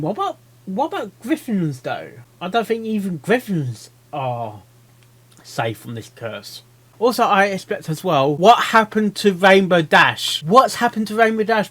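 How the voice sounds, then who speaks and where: A man's voice: fairly high pitch, a bit bright. A man giving casual reaction commentary, rambling and often repeating himself as he thinks aloud. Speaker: reaction video host.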